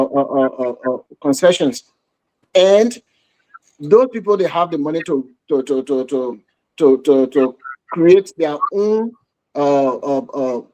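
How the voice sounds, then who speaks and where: A man talking on a radio show, speaking haltingly with many repeated words and fillers. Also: a little high, a little mellow, dry recording, rough recording. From Liberia. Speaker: guest. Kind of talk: radio talk show discussion. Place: radio studio.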